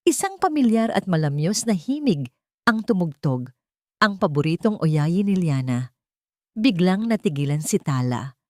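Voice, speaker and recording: A woman narrating a scripted drama as a storyteller, in a measured, suspenseful way with dramatic pauses. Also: on the low side, a little mellow, dry recording, studio quality.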